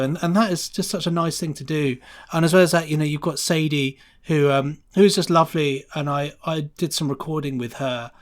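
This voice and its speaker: Male podcast guest, casual and enthusiastic, effusive, rambling conversational flow. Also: on the high side. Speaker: podcast guest, sound designer.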